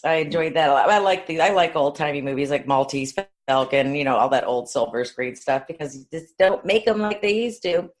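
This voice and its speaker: A woman's voice: low voice, fairly quick, hardly any pauses. A woman co-hosting a podcast, talking about films in an informal, folksy, conversational way. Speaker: podcast co-host. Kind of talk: casual film discussion.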